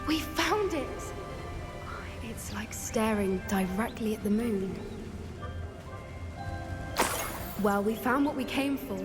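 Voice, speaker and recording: A woman's voice: a little high, lively intonation, measured pace, music in the background. A woman playing a curious, bookish, adventurous teenage witch in a video game, articulate and wry. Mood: pleased. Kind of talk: video game companion dialogue.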